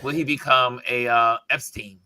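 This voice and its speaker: Bright, forceful male talk show host. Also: mid-range voice, fairly even intonation, no echo. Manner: halting, repeats phrases as he builds a point. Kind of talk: casual panel discussion of news.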